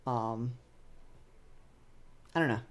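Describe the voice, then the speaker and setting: A man's voice: mid-range voice, drawn-out words. A man with a mid-range voice speaks as a podcast panelist. His delivery is casual and rambling, feeling its way tentatively through each point. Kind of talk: casual discussion.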